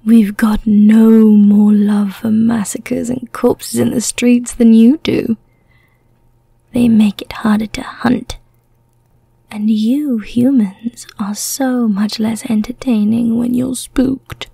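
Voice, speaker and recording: A woman voicing a teasing, menacing yet merciful female vampire in an ASMR roleplay, in a whispery, deliberate way. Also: a little high, level tone, mellow, no echo, pitched below the performer's own voice, heavily acted. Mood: calm, merciful. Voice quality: breathy, whispery.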